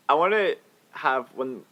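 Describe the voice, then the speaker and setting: A man co-hosting a podcast, chatting about films in an animated, storytelling way. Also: medium pitch, slow pace, muffled recording. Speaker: podcast co-host. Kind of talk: casual film discussion.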